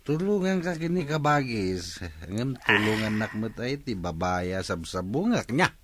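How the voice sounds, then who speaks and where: A man's voice: lowish voice, sing-song, a little slow, fairly bright tone, no echo, heavily acted. A man playing a whiny, scheming young village son in an Ilocano comedy radio drama. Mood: scheming, chummy.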